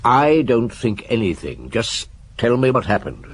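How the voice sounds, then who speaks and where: An actor playing a shrewd, measured police chief inspector in a radio drama, recounting his case and questioning people. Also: mid-range voice, sing-song, husky, heavily acted. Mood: probing. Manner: measured, probing, hesitant hums and questions. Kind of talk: radio drama acting, narrating and interrogating.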